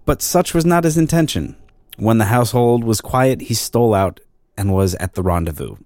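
A man reading an old crime story as narrator, in a measured, formal storytelling manner. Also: medium pitch, expressive, a little mellow, no room sound, studio quality.

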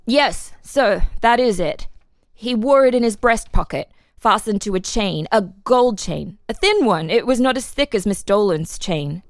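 A woman's voice: mid-range voice, expressive, unhurried, no room sound. Woman doing a little farm boy of ten on the stand, earnest n plain-spoken, very dry close recording. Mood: earnest, matter-of-fact. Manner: simple, plain-spoken, answering questions literally. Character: ten-year-old farm boy, earnest young murder-trial witness. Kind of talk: dramatic reading of historical testimony.

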